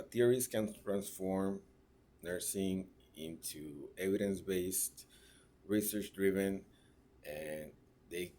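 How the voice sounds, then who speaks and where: Guy in his 30s, really low voice, halting class speech. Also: warm tone. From Mexico (raised in Ciudad Juárez). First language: Spanish. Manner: halting, searching for words, tag questions. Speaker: registered nurse.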